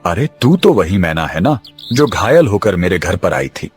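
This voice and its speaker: Male actor as scheming younger brother, low-pitched, swooping intonation, agitated, hurried, cartoon drama. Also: sing-song, slightly rough, soft background music, heavily acted. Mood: defensive. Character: scheming, excitable younger brother, greedy villager. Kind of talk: Hindi cartoon drama acting.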